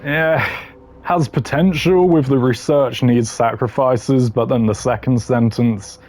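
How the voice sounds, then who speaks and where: A man's voice: medium pitch, fairly even intonation, a little slow, darkish tone. A man giving casual, opinionated gaming commentary in a blunt, slangy way, ranking voice lines. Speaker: gamer ranking game voice lines.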